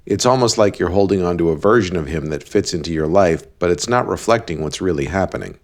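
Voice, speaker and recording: A man playing a blunt, deadpan cab driver who gives relationship advice in an animated series. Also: low voice, fast. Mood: blunt, analytical.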